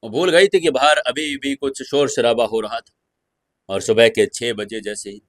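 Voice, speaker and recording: A man's voice: mid-range voice, steady tone, normal speed, a bit bright, no echo, clean studio sound. A man reading a scripted romantic serial as a storyteller, with dramatized delivery. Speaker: audio story narrator.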